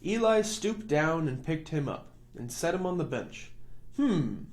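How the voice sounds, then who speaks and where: A man narrating a children's storybook aloud as a storyteller, with expressive delivery. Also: fairly high pitch, moderate pace.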